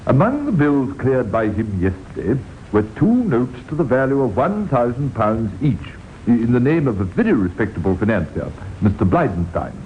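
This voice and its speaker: Man playing worried formal banker, lively pitch, earnest old radio acting, muffled recording. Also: moderate pace, slight background noise, close to an everyday voice. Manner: formal, slightly stammering, earnest. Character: anxious, respectful male bank official.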